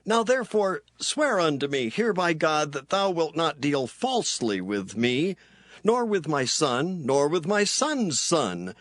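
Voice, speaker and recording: A man voicing an old king who speaks in a slow, drawn-out, pleading way. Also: a little high, big pitch swings, fairly bright tone, dry recording, pitched above the performer's own voice. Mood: earnest.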